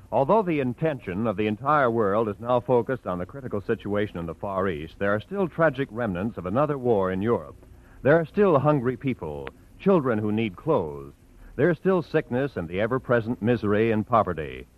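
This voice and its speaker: A man's voice: medium pitch, sing-song, brisk. A man announcing in a scripted, earnest style on an old-time radio broadcast. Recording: muffled vintage radio broadcast.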